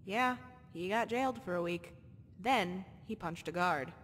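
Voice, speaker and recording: A woman's voice: measured pace, fairly bright tone. An actress voicing a tough, guarded orphan girl who talks in clipped, terse phrases. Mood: gruff. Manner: clipped, terse street talk. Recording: game audio with long reverb and faint rumble.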